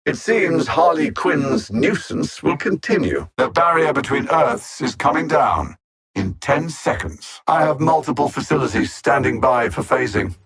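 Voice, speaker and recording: A cold, haughty alien machine intellect from a video game. The voice is mid-pitched for a male, heavy with gravelly creak and a husky rasp. Each formal, exact phrase swings widely in pitch, full of theatrical contempt for lesser beings. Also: fairly bright tone, heavily acted. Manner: condescending, theatrical, precise and formal diction. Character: cold, arrogant alien machine-mind villain bent on conquest. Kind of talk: scripted video game villain voice acting.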